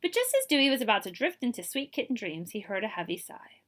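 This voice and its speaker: Female children's storyteller, fairly high and very lively, expressive librarian read-aloud with dramatic pauses. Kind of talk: animated children's picture book read-aloud.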